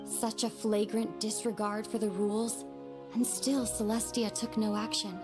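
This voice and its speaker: Casting: actress as war-weary younger woman, solemn and measured, sorrowful game drama delivery, clear music underneath. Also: mid-range voice, flat delivery, lightly acted. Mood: grave, bitter. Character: grave, sorrowful young sister hardened by a long war. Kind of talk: dramatic video game voice acting.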